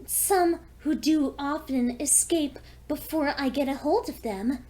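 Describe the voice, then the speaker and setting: Seductive vampire mare for audio story, played by a woman: high, mellow, predatory, courteously teasing. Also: measured pace. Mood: coolly predatory. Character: seductive, lonely female vampire pony, sweetly predatory. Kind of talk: fan fiction audio story acting.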